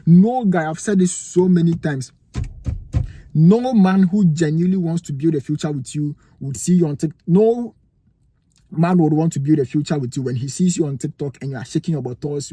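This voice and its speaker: Husky Ghanaian man preaching to camera. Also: a little high, moderate pace, dark tone, no room sound. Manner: emphatic, preachy, asks rhetorical questions. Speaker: vlogger giving life advice. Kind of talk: motivational talk to camera. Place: cold room indoors, near a heater.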